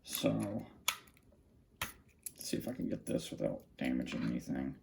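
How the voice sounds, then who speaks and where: A man with a gravelly, creaky voice giving casual unscripted commentary, muttering to himself while he works with his hands. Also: a bass voice, sing-song, unhurried, background noise. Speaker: hobbyist vlogger showing a radio collection. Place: small indoor room at a cluttered desk.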